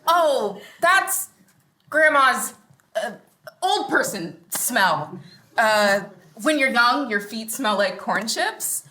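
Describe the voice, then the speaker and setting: Slow, sarcastic teen girl, played by a woman. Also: a little high, big pitch swings, pushed and bright, a little hiss or hum, full character voice. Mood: flustered. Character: sarcastic, picky teenage girl, reluctant vegan. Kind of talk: sitcom table read before live audience. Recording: roomy stage sound.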